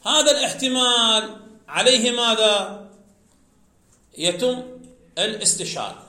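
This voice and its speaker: A man's voice: extremely high pitch, expressive, measured pace, sharp tone, echoey room. A man teaching Arabic grammar in a classroom lecture, explaining step by step and asking his students questions that he then answers himself.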